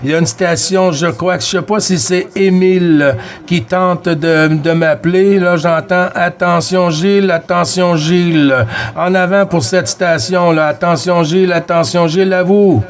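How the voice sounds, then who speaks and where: A man's voice: high voice, steady tone, unhurried. A man with a Quebec French accent chatting casually over two-way radio as a CB operator, friendly and repeating himself. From Quebec, Canada. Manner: friendly, repeats himself, tags phrases with "hein".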